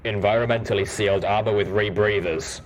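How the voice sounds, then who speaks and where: A man voicing a gruff, stoic male soldier pony in a story, with a deep rumble and a blunt manner. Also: steady tone, a little fast, natural voice. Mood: proud.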